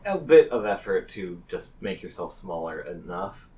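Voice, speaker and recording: A man acting as game master, describing scenes in an improvised tabletop game with halting, deliberate phrasing. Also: deep voice, big pitch swings, dark tone.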